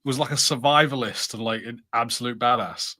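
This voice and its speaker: A man's voice: medium pitch, brisk, pushed and bright. A man co-hosting a casual review podcast, musing conversationally and a little hesitantly.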